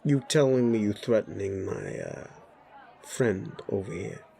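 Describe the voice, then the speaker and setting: A man voicing a brash werewolf bully boss in an audio roleplay, gravelly, swaggering and blunt, spoiling for a fight. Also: lowish voice, very animated, measured pace, dark tone, full character voice. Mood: defiant.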